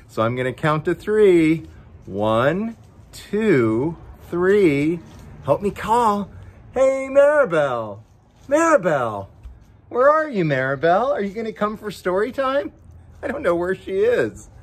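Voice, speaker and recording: A man reading a picture book aloud to children, playfully coaxing them and chatting directly to them between passages. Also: on the high side. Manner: playful, coaxing, talks directly to young viewers. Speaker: story time host reading to children.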